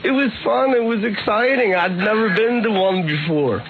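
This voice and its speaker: Guy guest on a radio show, halting defensive replies, crowd noise around. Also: sits high. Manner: hesitant, defensive answers. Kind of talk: radio interview answers. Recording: tinny radio broadcast.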